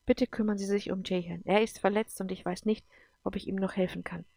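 A woman voicing a sorrowful, guilt-ridden woman in love in an audiobook, soft, earnest and emotional. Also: medium pitch, steady tone, a little fast, creaky, dry recording, clean studio sound. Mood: earnest pleading.

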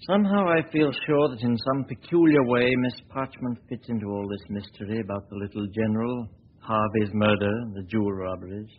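An actor playing a confident, suave gentleman detective in a 1940s radio drama, brisk and clipped, on an old recording. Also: on the low side, steady tone, close to an everyday voice. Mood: thoughtful. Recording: dull, noisy 1940 radio recording.

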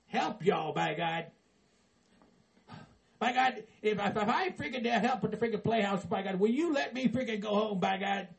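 A man's voice: high-pitched, animated, moderate pace, vocal fry. A man playing a grumpy, profane middle-aged man who hates Christmas, blustering and exasperated. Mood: grudging. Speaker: comedy character.

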